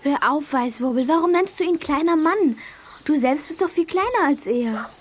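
A woman's voice: high-pitched, expressive, normal speed. A woman playing a kind, reassuring girl heroine in a children's radio play. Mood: chiding. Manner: reassuring, gently chiding.

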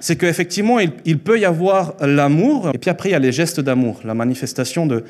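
A man in his thirties, born in Mexico with Spanish as his first language, preaching an earnest sermon in a church hall into a handheld mic, repeating phrases for emphasis and slowing down on key lines. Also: a little high, lively intonation, a little mellow, slight background noise.

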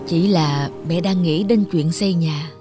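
A woman playing an elderly widowed mother in a cartoon, gentle, yielding and reflective, speaking hesitantly. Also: fairly low pitch, measured pace, lightly acted. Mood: wistful, reflective. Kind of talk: cartoon voice acting. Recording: music under the voice.

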